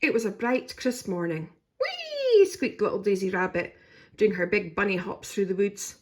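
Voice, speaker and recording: A woman's voice: mid-range voice, normal speed, husky. A woman narrating a children's story expressively as a storybook reader.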